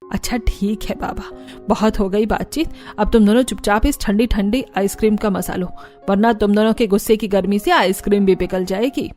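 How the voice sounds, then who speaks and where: A woman's voice: mid-range voice, fairly even intonation, moderate pace, a little mellow, faint music behind, natural voice. Woman playing a bossy cartoon mother-in-law. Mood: chiding. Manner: stern, exasperated, commanding. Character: bossy, scolding middle-aged mother-in-law. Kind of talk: animated family story dialogue.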